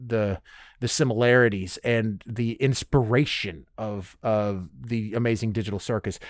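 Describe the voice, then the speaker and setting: A man hosting a solo podcast, talking casually in an animated, emphatic way and swearing freely. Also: medium pitch, no echo, studio quality. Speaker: podcast host.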